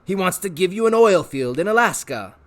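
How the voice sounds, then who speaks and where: A man's voice: sits high, expressive, voice pushed up. A man voicing a pushy, wisecracking male trickster who hollers and talks like a huckster in a storybook reading. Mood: pushy, brash. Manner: loud, fast-talking huckster, hollers.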